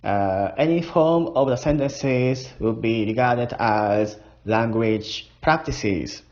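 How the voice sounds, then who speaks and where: Slow, tipsy Japanese-accented man. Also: mid-range voice, a little mellow. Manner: playful, chuckling, slow and deliberate. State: drunk. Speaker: language learner. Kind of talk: casual voice diary monologue. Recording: dull lo-fi recording.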